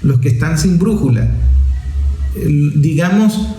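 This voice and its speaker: A Venezuelan man with a high-pitched voice presents opinion commentary in a roomy, echoing space. He speaks emphatically, building points through lists and rhetorical questions. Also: lively intonation, takes it slowly, warm tone, soft background music. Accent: Venezuelan Spanish. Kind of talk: opinion commentary on media and politics.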